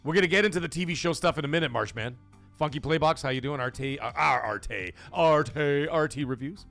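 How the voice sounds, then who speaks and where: A man hosting a casual gaming live stream, rambling excitedly with fillers and restarts, greeting chat viewers and swearing casually. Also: on the high side, brisk, vocal fry, soft background music. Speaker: livestream podcast host.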